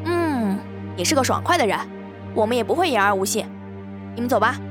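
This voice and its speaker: A scornful immortal demon huntress played by a woman, haughty and imperious. Her voice is fairly high, delivered in audio drama style over clear background music. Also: lively intonation, brisk, close to an everyday voice. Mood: grudging approval. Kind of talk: Chinese audio drama acting.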